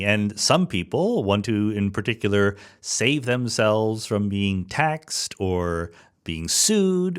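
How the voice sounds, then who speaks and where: A man speaking as a podcast host in an informal, explanatory, conversational manner. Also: fairly low pitch. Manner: informal, explanatory, with rhetorical check-in questions. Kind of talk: conversational podcast introduction and interview.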